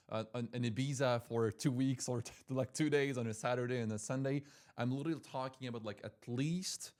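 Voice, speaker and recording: Young swiss guy vlogging, casual pep talk, mid voice, keeps saying like and right. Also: mid-range voice. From Switzerland. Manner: chatty, repeats himself, heavy use of "like" and "right?". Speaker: language school owner and vlogger. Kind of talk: casual motivational talk to camera.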